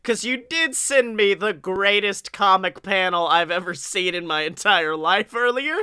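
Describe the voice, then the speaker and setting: A man's voice: high voice, a little slow, pushed and bright, clear, resonant tone, dry recording, clean studio sound. A young man hosting a podcast with casual, profane banter. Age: young adult. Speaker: podcast host.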